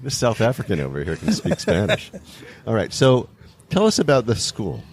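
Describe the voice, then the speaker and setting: A man's voice: mid-range voice, huge pitch swings, normal speed, gravelly, a little mellow. A man hosting a round-table talk, steering the guests with playful, joking interjections. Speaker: host. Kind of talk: casual round-table chat.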